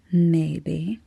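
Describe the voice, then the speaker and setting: A woman's voice: fairly low pitch, vocal fry, warm tone, husky, heavily acted. An actress playing a teasing, self-assured woman scientist in an audio drama, breezy and sardonic. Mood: flirtatious. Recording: music under the voice.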